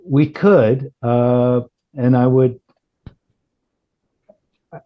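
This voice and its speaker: A man speaking as a company founder, explaining technical matters haltingly on a group call. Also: medium pitch, fairly even intonation, lo-fi sound. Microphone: online group call.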